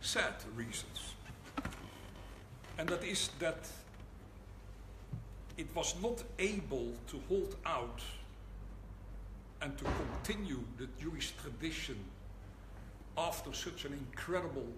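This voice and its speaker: Older male lecturer, Dutch accent, dry wit, measured delivery, roomy hall with background noise. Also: on the high side, animated. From Netherlands. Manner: wry, deliberate, pauses for laughs. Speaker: rabbi giving a lecture. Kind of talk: public lecture. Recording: echoey hall, audible room noise.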